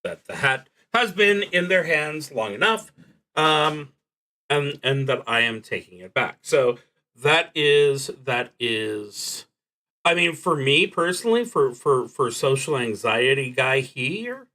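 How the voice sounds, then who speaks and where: A man livestreaming a solo roleplaying game, thinking aloud in an unscripted, deliberative way with frequent pauses and restarts. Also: mid-range voice, big pitch swings, pushed and bright. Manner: halting, deliberative, often trailing off and restarting.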